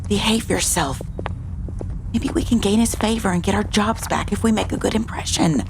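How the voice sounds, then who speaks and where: A woman's voice: medium pitch, normal speed, creaky, background noise, lightly acted. An actress playing a chiding, pragmatic spaceship crewwoman in a sci-fi audio drama. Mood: scolding.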